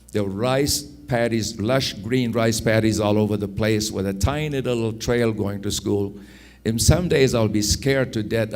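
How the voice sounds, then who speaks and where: A man in his sixties from Afghanistan with an Afghan accent, telling personal stories in an unhurried, anecdotal way, repeating phrases for emphasis. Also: lowish voice, darkish tone. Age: 60s. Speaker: speaker telling his life story. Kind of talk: personal storytelling.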